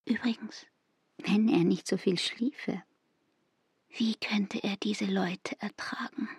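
An actress playing a bitter, confiding barmaid in a literary radio drama, in a breathy, intense whisper. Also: female voice, medium pitch, fairly even intonation, dry recording, heavily acted. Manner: hushed, intense, slow.

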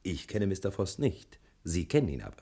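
Self-assured detective with swooping intonation. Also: male voice, sits low, huge pitch swings, a little fast, creaky, warm tone, roomy sound, exaggerated voice. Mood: soothing, persuasive. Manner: bossy on calls, unctuously soothing with the lady. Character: brisk, self-assured male private detective. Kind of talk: 1960s German radio play acting.

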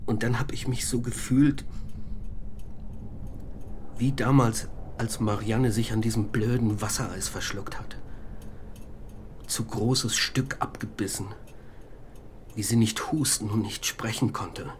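A brooding middle-aged man speaks low and hushed in an intimate radio play. He sounds haunted and halting, with clear ambient noise around him. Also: fairly even intonation. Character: brooding East German husband and father, haunted, intimate. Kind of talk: naturalistic radio drama dialogue.

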